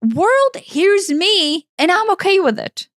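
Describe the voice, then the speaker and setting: Woman, 40s, Ukrainian accent, podcast co-host; high voice, dry close sound, blunt and emphatic. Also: sing-song, takes it slowly, a bit bright, no room sound. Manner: emphatic, blunt, swears freely. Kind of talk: impassioned self-help talk.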